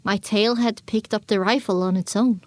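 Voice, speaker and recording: A woman's voice: mid-range voice, steady tone, moderate pace, a little mellow, no echo, natural voice. A woman narrating a dramatic audiobook in the first person as a young filly pony, reflective and scripted. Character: young filly pony recounting her wasteland journey.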